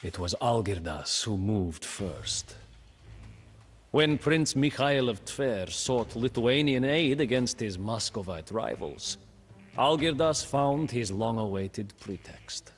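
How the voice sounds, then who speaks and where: A proud, ambitious young prince tells his story in a slow, weighty storybook narration for a game. His voice sits at an ordinary pitch, and soft music plays underneath. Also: animated, slightly rough. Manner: slow, measured, brooding delivery. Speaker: campaign narrator. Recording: faint music behind.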